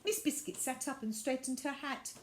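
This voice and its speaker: A woman reading a children's story aloud as an expressive storyteller. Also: high-pitched, normal speed.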